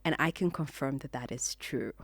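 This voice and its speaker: A woman's voice: extremely low pitch, normal speed, creaky, dry recording. A woman hosting a podcast, opening the episode and asking questions in an upbeat, welcoming way. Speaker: podcast host. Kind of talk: casual interview.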